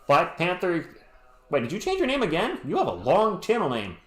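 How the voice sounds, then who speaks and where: A man's voice: sits high, huge pitch swings, normal speed, a bit bright. A man from Western Massachusetts calling a basketball game play by play on a live stream, chatting with viewers and cracking jokes between plays. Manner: chatty, answers chat messages with joking asides. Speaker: sports stream host. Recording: roomy echo.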